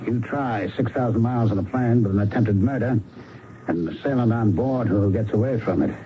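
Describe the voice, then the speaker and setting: A man's voice: lowish voice, brisk, natural voice. An actor playing a weary, probing American police officer who narrates his case in an old-time radio drama. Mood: tired, curt. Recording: noisy, muffled vintage radio recording.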